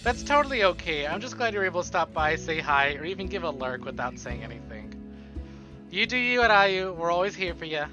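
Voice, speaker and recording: Excitable male livestreamer, slightly high-voiced, over music. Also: brisk. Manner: excitable, playful, profane, exclaiming. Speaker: livestream host. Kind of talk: casual streaming chat between visual novel readings. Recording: dull lo-fi stream audio under music. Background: prominent music.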